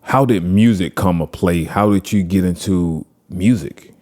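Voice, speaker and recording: A man's voice: deep voice, unhurried, darkish tone. An American man hosting a casual interview, enthusiastic and full of fillers. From United States. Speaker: interview host.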